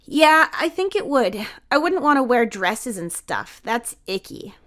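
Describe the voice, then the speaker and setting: Audio story role: woman as small boy, innocent and sad, high voice, stammering and earnest. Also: very animated, unhurried, a bit bright. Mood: worried. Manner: hesitant, stammering.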